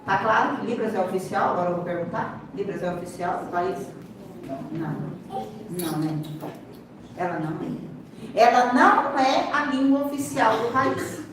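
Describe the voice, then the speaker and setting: A woman's voice: mid-range voice, lively intonation, normal speed. A Brazilian woman giving an interactive lecture in Brazilian Portuguese, teaching emphatically with rhetorical questions and repeated key points. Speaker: seminar speaker, sign language teacher and interpreter. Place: large echoey room, far from the mic. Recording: echoey hall, distant microphone, clear background noise.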